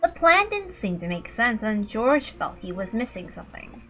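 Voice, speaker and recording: A woman's voice: fairly high pitch, sing-song, normal speed, sharp tone. A woman reading scripted narration from a visual novel. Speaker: reader of a visual novel playthrough. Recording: faint music behind the voice. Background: faint music.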